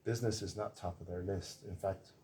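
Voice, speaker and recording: A man hosting an interview as a conference organiser, agreeable and quick to chime in. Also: low voice, steady tone, mellow, a little hiss or hum. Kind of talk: casual interview chat.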